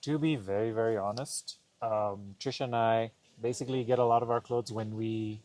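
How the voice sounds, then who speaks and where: A man's voice: on the low side, fairly even intonation, moderate pace, darkish tone, slight background noise. A young man, a vlogger, answering viewer questions in a laid-back, joking way with some hesitant fillers. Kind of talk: casual Q&A chat.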